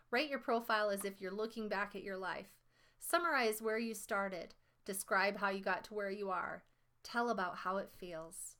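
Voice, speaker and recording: A woman with a fairly high voice reads a lesson aloud as a teacher would. Her delivery is instructional and explanatory, and she slips into casual asides between the written passages. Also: fairly bright tone.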